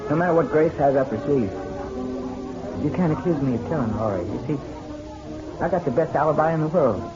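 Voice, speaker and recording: A man's voice: on the high side, lively intonation, brisk, close to an everyday voice. A man playing a bitter, self-pitying drunk and grieving husband in a detective radio drama, world-weary and sardonic. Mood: self-pitying, regretful. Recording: muffled radio recording, music underneath.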